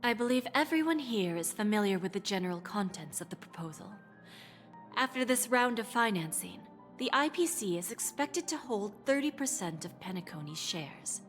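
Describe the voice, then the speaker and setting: An actress playing a poised, calculating female corporate executive in a video game, speaking formally and deliberately. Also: medium pitch, lively intonation, soft background music, natural voice. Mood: formal, gracious. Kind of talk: scripted video game voice acting.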